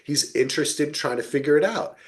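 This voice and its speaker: A man's voice: fairly high pitch, a little fast. A man hosting a podcast, chatting casually and emphatically about television, acting out quoted asides as he goes. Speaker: podcast host. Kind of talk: casual TV recap discussion.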